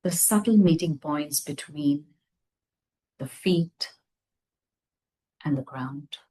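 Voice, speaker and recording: Female meditation guide, low-pitched and breathy-husky, gentle delivery with spacious pauses. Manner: gentle, unhurried phrases with long pauses. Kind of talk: guided meditation, slow soothing instruction.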